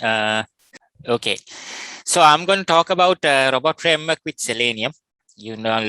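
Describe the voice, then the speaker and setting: Bright, clear Sri Lankan man presenting. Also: medium pitch, no room sound, low-quality recording. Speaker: workshop speaker, test automation specialist. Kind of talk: informal technical lecture in an online workshop.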